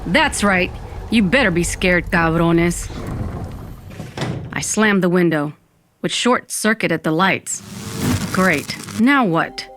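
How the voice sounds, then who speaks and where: A woman's voice: fairly low pitch, lively intonation, slow, long pauses, background noise. A woman playing a sardonic undead woman, narrating an audio fiction in the first person. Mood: defiant, then wry, reflective. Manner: wry, dramatic, peppered with Spanish exclamations. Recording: music bed under the voice.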